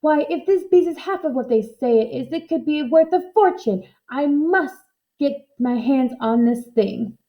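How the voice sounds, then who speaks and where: A woman's voice: sits high, animated, a little slow, highs cut off, pitched above the performer's own voice. A woman voicing a greedy, bossy male showman in a grandiose, exclamatory storybook style. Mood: jubilant.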